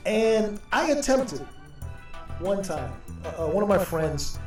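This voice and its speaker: A man hosting a podcast, from Jamaica, talking in a hyped, casual way and shouting out guests and sponsors. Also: sits high, sing-song, slow, echoey room, distant mic, over music. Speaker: podcast host.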